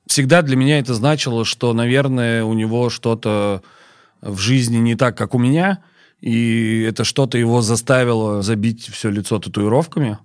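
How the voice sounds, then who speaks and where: A man speaking as a chef and guest on a podcast, in a measured, firm, matter-of-fact manner. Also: mid-range voice, fairly even intonation, no echo, studio quality. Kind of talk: podcast interview talk. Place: podcast studio.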